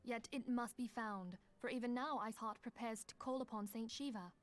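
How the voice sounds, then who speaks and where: A woman's voice: a little high, normal speed, close to an everyday voice. A woman playing a grave, resolute leader in a fantasy video game, speaking formally and solemnly.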